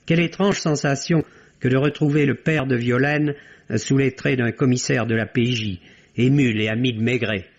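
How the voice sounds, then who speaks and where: Male critic, mid-pitched, articulate and literary, reading a review on a dull vintage radio recording. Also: fairly even intonation, moderate pace. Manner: formal, literary, articulate, rhetorical. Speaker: film critic on a radio program. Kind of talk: scripted film review, 1960s French radio.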